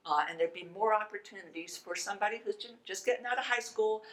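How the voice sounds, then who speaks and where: A woman speaking as a panelist in a measured, halting way as she explains a point. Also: mid-range voice, fairly bright tone. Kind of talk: explanatory remarks at a panel discussion.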